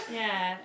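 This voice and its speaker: A young woman hosting a homemade cooking video, presenting in a playful mock-formal way and giggling often, heard from a distant camera in a small wooden kitchen. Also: high-pitched. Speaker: host of a home cooking video. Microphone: far camera. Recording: roomy, distant, faint hiss.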